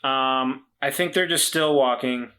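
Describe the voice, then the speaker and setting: A man playing a tabletop roleplaying game, talking casually and haltingly as he thinks aloud. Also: medium pitch, sharp tone. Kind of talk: casual improvised game talk. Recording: faint guitar music behind the voice. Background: faint guitar music.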